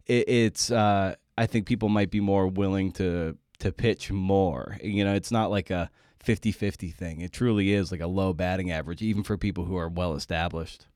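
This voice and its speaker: A man hosting a conversational podcast interview, talking in a halting way as he rephrases his thoughts. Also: sits low, fairly even intonation, a little mellow, no echo, studio quality. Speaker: podcast host interviewing a writer.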